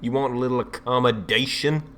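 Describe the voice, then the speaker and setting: A man's voice: medium pitch, fairly even intonation, brisk, a bit bright, a little hiss or hum, lightly acted. A man playing a blunt, sardonic leader of dragon slayers in an audio drama. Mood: scornful, bitter. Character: gruff, defiant American man leading dragon slayers.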